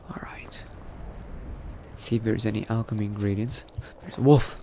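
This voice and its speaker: A man's voice: fairly low pitch, fairly even intonation, moderate pace, warm tone, lightly acted. A man voicing a cautious, coldly pragmatic new vampire in a roleplay, muttering to himself and often dropping into a whisper. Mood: startled, calculating. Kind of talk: in-character roleplay narration, thinking aloud. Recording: noticeable background noise.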